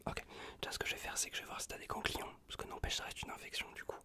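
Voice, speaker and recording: Whispering man, close-up ASMR. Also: very fast. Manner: hushed, reassuring, repetitive, casual slangy talk. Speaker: ASMR performer playing an untrained medic. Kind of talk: whispered ASMR roleplay of a medical exam. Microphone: close USB condenser microphone.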